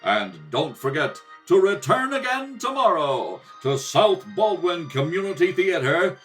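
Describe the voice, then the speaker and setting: A man's voice: high-pitched, big pitch swings, a little slow, fairly bright tone, soft background music. A man narrating in the dramatic, theatrical style of a 1930s radio serial announcer. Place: kitchen table at home.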